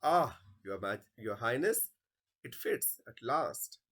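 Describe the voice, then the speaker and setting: An apologetic hangman from a stage play, acted by a man reading aloud. He speaks deferentially, as a servant to his king. The delivery is slow, with wide swings of pitch. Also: expressive, a bit bright, slightly rough, full character voice.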